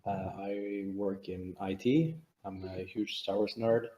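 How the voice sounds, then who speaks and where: Low, mellow man from Norway, roomy sound. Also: fairly even intonation, slow. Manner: brief, matter-of-fact. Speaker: player. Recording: roomy call audio.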